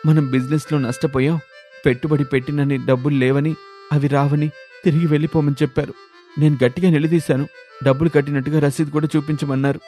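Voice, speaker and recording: A man's voice: a little high, little pitch movement, fast talker, dark tone, faint music behind, lightly acted. A man playing a downcast, swindled young husband who confides in a plaintive, self-pitying way. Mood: dejected.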